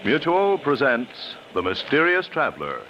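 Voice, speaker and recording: Animated male vintage radio announcer. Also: mid-range voice, unhurried. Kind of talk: 1940s radio announcing, scripted. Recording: dull old radio recording with music.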